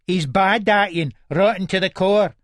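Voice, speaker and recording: Man as indignant old Scottish woman, raised pitch. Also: a little slow, no room sound, studio quality. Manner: indignant accusing.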